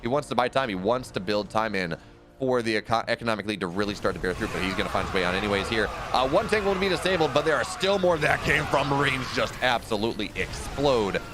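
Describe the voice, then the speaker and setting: A man's voice: lively intonation, fast, over music. A man casting a strategy game live, talking casually with his chat between plays and often restarting his sentences. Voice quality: deep radio-style voice. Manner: conversational, rambling asides with frequent restarts. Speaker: esports caster.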